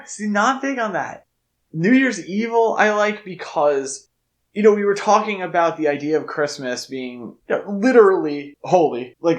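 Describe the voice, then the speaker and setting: A man co-hosting a podcast in a casual film discussion, talking informally and leaning often on "like". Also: a little high. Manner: informal, conversational, filler-heavy with frequent "like". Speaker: podcast co-host.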